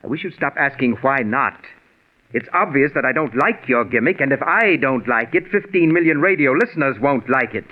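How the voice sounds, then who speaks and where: A man's voice: medium pitch, lively intonation, normal speed, lightly acted. Man playing a pompous, imperious radio big shot. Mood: dismissive, imperious. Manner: pompous, condescending, precise formal diction. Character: pompous, egotistical male radio producer, fussy and imperious. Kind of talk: 1940s American radio drama acting. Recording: muffled, narrow-band 1940s radio recording.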